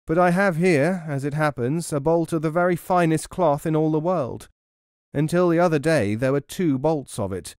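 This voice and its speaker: Casting: man as folk-tale cloth trader, proper, businesslike, quick to get worked up. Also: fairly high pitch, a little mellow, no echo, clean studio sound, voice pushed up, close to an everyday voice. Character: polite but excitable male cloth merchant in folk tale.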